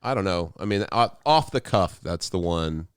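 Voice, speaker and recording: Male guest, low resonant voice with animated intonation, joking and loose. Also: normal speed, clear, resonant tone, no room sound, studio quality. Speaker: podcast guest. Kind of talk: casual chat.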